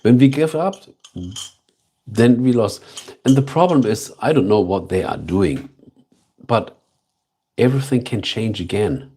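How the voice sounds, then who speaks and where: Slow, soft-spoken German man. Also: on the low side, lively intonation, slightly rough. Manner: slow, thoughtful, repeats himself, pauses with fillers. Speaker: livestream host. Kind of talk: casual live stream chat reading viewer comments.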